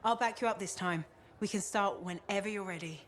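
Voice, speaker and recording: An actress as a measured, studious ally. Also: female voice, medium pitch, fairly bright tone, lightly acted. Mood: focused, supportive. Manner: measured, explanatory. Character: studious, supportive woman scholar and ally. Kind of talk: video game cutscene acting.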